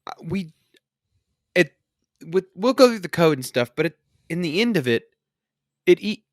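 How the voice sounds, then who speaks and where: A man's voice: high voice, big pitch swings, moderate pace, no room sound, studio quality. A man co-hosting a casual gaming podcast, explaining his opinions in an informal way. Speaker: podcast co-host. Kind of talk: casual two-person podcast discussion of a mobile game.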